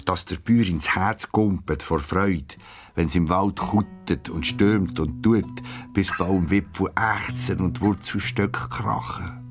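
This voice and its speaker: A man's voice: low voice, slow. A man with a Swiss German accent narrating a scripted story for children. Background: music, plucked guitar.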